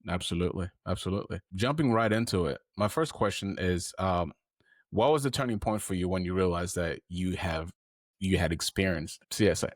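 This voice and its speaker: Male podcast host, very low voice, dry close mic, earnest and rambling. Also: expressive, a little fast, no echo. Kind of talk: heartfelt interview.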